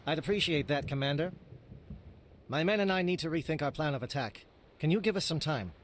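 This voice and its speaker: A stern alien captain from a video game voiced by a man, speaking quickly in a slightly high male register. He is formal and resolute, briefing in clipped, urgent military phrases. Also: lively intonation, close to an everyday voice. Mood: businesslike.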